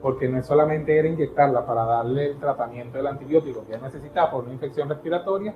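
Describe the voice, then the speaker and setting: A man from Caracas with a Venezuelan accent, telling his story as an interview guest in an earnest, halting way with many restarts. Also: mid-range voice, echoey room, phone-quality sound, soft background music. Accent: Venezuelan Spanish.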